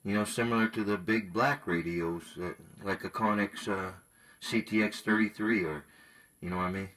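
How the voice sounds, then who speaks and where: A man with a low voice talks casually as a radio hobbyist. He is laid-back and colloquial, speaking in everyday words about equipment. The pitch sits well down in the male range. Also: steady tone, moderate pace, a bit bright.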